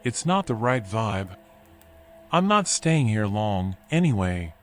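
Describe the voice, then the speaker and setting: An actor playing a washed-up animal drifter in a noir video game, folksy, rambling and resigned. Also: male voice, fairly low pitch, very animated, slow, fairly bright tone, heavily acted. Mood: wry, resigned. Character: washed-up male drifter animal, philosophical, wry, weary. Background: music.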